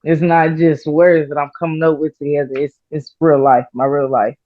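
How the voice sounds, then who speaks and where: A woman rapper answering interview questions in a halting, soft-spoken way, with many fillers and pauses. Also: low voice, level tone, no room sound. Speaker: rapper guest. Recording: slightly dull, muffled audio.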